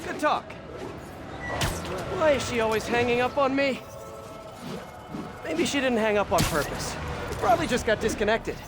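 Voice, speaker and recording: An actor playing an earnest, wisecracking young superhero in a video game, with an American accent and a chatty, wry manner. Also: male voice, extremely high pitch, animated, moderate pace, pauses often, loud music behind the voice. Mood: wry, rambling. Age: young adult.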